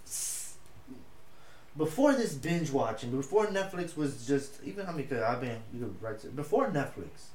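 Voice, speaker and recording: A young man whose first language is Spanish, co-hosting a casual podcast chat in an animated, slangy way with lots of swearing. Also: medium pitch, moderate pace. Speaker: podcast co-host. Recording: echoey room with faint noise.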